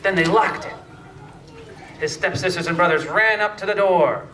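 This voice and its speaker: A man narrating a scripted fairytale slowly and deliberately, with pauses, as a storyteller. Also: high voice, bright, forceful tone. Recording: echoey room, distant mic, faint noise.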